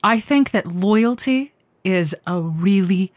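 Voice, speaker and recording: A woman playing an earnest, easily flustered veteran host of a comedy radio advice show, speaking haltingly. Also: mid-range voice, expressive, no room sound. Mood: defensive, resigned. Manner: halting, stammering when put on the spot.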